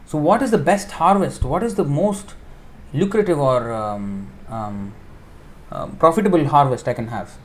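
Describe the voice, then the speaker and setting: A man giving a sermon in an informal style, explaining with everyday examples, pausing with fillers and correcting himself as he thinks aloud. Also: a little high, expressive. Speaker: preacher giving a scripture class.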